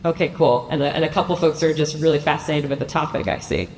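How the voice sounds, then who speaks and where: A woman with a low voice moderates a conference panel, chatty and upbeat and full of fillers. She sounds echoey, picked up from a distance in a big hall with a faint hiss. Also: fast, darkish tone. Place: large conference room.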